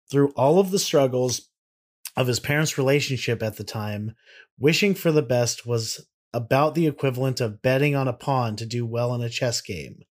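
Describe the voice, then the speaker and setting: Guy cohosting a podcast, rambles and swears a lot, slangy, chatting and reading stuff out, medium pitch. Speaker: podcast co-host. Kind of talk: casual banter, reading song annotations aloud.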